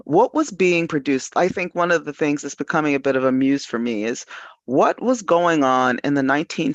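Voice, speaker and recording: A man's voice: a little high, a bit bright, dry recording. A man talking casually and reflectively as a panelist, repeating phrases for emphasis. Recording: dull, lo-fi, dry audio.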